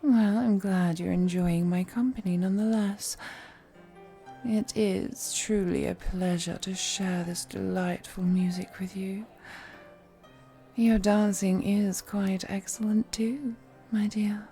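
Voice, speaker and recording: Woman playing a slow, velvety, flirtatious lady vampire. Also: medium pitch, fairly even intonation, warm tone, soft background music. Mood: admiring, flirty. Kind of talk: scripted roleplay audio, intimate one-sided dialogue to the listener.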